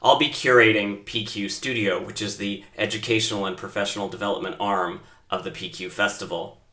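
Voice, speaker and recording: A man from Canada introduces himself in a polite, formal way. His low voice has a bright, forceful tone. The recording is noticeably roomy. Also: fairly even intonation, brisk. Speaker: performance designer, professor. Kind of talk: prepared self-introduction. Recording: roomy echo.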